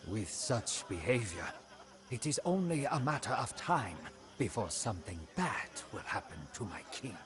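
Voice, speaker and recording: An actor playing a proud, devoted commander of a royal guard, narrating a game's storybook in a solemn, deliberate manner. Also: male voice, on the low side. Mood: troubled. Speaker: narrator of a game campaign story. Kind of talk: scripted storybook narration in character. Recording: music behind the voice.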